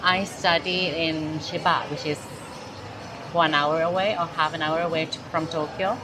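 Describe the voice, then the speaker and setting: A woman's voice: low voice, steady tone, moderate pace, noisy background. A woman in her thirties with a Thai accent, chatting casually in an interview with lots of fillers and restarts, recorded on a lapel mic in a large indoor mall. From Thailand. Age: 30s. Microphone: clip-on lapel mic.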